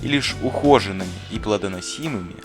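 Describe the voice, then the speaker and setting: A man plays a thoughtful, kindly schoolteacher for an animated film. He explains in an earnest, didactic manner with bookish phrasing. Music plays clearly behind the voice. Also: fairly low pitch, fast talker, natural voice. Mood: warm, reflective. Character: thoughtful, kindly male schoolteacher who talks like a gardener. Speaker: teacher answering interview questions. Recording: voice over clear background music.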